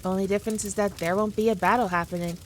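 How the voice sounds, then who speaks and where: A woman acts out a proud, playful demon queen in an audio roleplay. She speaks straight to the listener with condescending, teasing authority, at a middling female pitch, over faint background noise. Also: steady tone, fast, close to an everyday voice. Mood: coaxing. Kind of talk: scripted roleplay monologue addressed to the listener.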